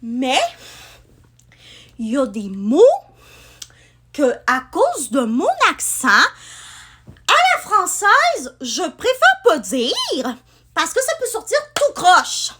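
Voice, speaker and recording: Young woman vlogger from Quebec, partly France-style accent, high lively voice, unhurried, rambling. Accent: Quebec French, with some France-style features. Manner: rambling, with many "ben" fillers and restarts. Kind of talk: casual chat to camera.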